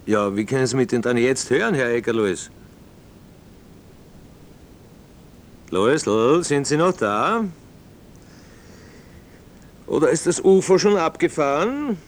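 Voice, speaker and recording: An actor playing an amused, nosy late-night radio host who questions callers with teasing condescension. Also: male voice, medium pitch, animated, a little slow, pauses often, natural voice. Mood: mocking. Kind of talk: radio phone-in acting.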